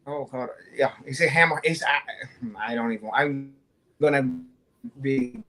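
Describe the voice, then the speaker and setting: A man's voice: medium pitch, measured pace, bright, forceful tone, poor audio. A man with a Brazilian accent co-hosting a show, boasting, swearing and joking. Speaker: co-host. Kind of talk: profane comic banter.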